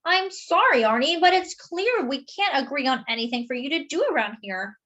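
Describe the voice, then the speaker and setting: A woman's voice: sits high, expressive, normal speed, sharp tone, highs cut off, pitched above the performer's own voice. A woman voicing a kindly, reasonable, slightly fussy man in a children's storybook, earnest and reassuring. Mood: apologetic.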